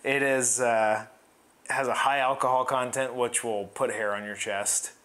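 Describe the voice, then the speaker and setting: A woman's voice: extremely low pitch, fairly even intonation, unhurried, fairly bright tone. A woman co-hosting a show, chatting casually in a playful, jokey way. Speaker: co-host of a movie-themed homebrewing show. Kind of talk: casual conversational chat.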